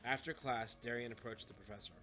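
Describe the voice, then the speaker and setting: Male narrator, mid-pitched, for scripted fiction storytelling, with faint music underneath. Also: steady tone, quick pace, pushed and bright. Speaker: audiobook narrator.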